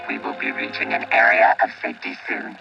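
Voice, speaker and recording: An actor playing a literal, unflappable robot protector in a radio play, formal and clinical, through a robotic filter. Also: male voice, rumbling low, completely flat delivery, brisk, creaky, highs cut off, over music, full character voice. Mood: deadpan, analytical.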